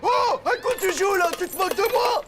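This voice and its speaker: A woman's voice: extremely high pitch, a little fast, heavy background noise. A woman voicing a blunt, mocking schoolboy in a French cartoon, slangy and insistent. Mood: pushy. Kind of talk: French animated cartoon voice acting. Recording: loud music behind the voice.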